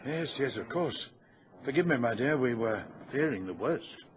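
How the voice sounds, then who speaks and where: Ailing gentleman father, man acting, formal and fretful, very expressive, vintage radio drama with clear noise. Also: medium pitch, normal speed, close to an everyday voice. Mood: weary. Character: ailing, anxious gentleman father, a titled traveller. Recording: muffled, noisy vintage radio recording.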